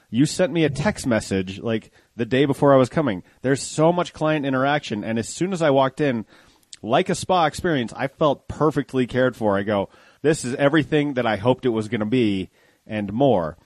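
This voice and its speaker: A man's voice: medium pitch, darkish tone, dry recording. Chatty man host, dry sound. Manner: chatty, storytelling, casual. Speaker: podcast host. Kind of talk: interview chat.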